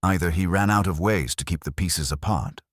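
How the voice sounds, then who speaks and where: A man voicing a calm, shrewd police inspector in a mystery story, with a deep, husky voice and terse, grave delivery. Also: moderate pace, no echo, studio quality. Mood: grave, deliberate.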